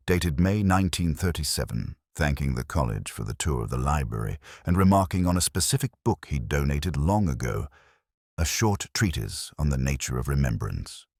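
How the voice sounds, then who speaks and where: A man playing a weary, reflective older detective, narrating a crime drama in measured, solemn, literary phrases. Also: very deep voice, sing-song, darkish tone, no echo, studio quality, exaggerated voice. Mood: melancholy, pensive. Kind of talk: scripted first-person detective story narration.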